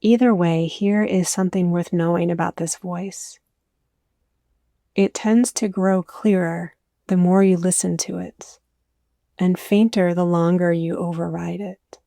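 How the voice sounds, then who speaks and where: A woman leading a guided meditation, speaking gently and soothingly in scripted, softly inviting phrases. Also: on the low side, steady tone, unhurried, creaky, dry recording, studio quality.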